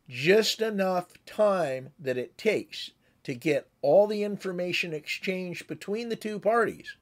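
A man in his sixties giving a conversational, instructive amateur radio tutorial as the presenter. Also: high-pitched. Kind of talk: explanatory tutorial with demonstrated radio calls.

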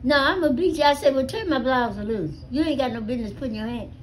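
An elderly American woman telling stories casually to family, with a salty, animated manner, recorded on a phone at home. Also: high-pitched, quick pace, slight background noise. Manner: animated, salty, acts out dialogue with swearing. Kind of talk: casual storytelling among family. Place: small living room at home. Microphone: phone held near her.

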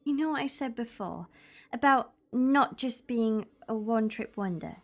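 A woman playing a tough, stubborn young time traveller's companion in an audio drama, blunt and quick to answer back. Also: a little high, animated. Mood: hurt, grave.

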